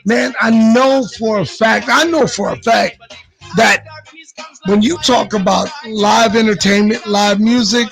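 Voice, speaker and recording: A man with a high voice and a gravelly, creaky edge talks up an event as a show guest. His delivery is emphatic and slangy, doubling phrases for punch, with faint music behind him. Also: a little slow, a bit bright. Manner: emphatic, slangy, repeats himself. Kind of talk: casual hype talk.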